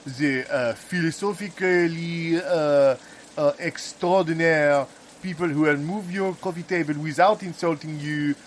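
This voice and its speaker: A man's voice: on the high side, fairly even intonation, measured pace, a little hiss or hum, lightly acted. A man playing an indignant, rambling housemate, arguing and musing hesitantly in improvised audio comedy. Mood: exasperated. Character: indignant, rambling man bickering with his housemate, mildly philosophical.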